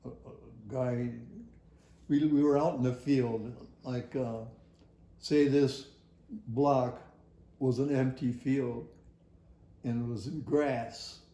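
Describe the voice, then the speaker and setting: An older man tells a personal story from his working life in a halting, rambling, folksy way. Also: mid-range voice, fairly even intonation, warm tone, roomy sound. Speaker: retired air traffic facility worker. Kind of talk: personal oral history storytelling.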